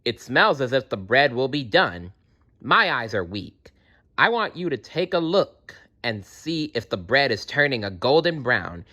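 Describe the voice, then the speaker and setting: A man's voice: medium pitch, very animated, unhurried, pushed and bright, full character voice. A man voicing a wicked old witch in a storybook, commanding and scheming. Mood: coaxing, scheming. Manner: commanding, menacing. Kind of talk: storybook character voice in a read-aloud.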